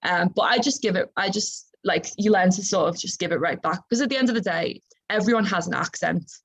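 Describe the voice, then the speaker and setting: A young woman with a softened Liverpool accent, talking informally on a student panel in a chatty, halting, self-correcting way. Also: lowish voice, slightly rough, low-quality recording. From Liverpool, England. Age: young adult.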